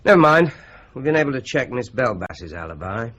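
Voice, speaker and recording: A husky courteous police inspector. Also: male voice, medium pitch, big pitch swings, a bit bright. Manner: measured, polite questioning. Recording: dull lo-fi audio.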